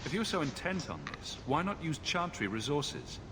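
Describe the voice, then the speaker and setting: A man's voice: a little high, big pitch swings, normal speed, big-room sound, background noise. A man playing a wry, skeptical adventurer for hire in a fantasy video game, terse and challenging. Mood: impatient.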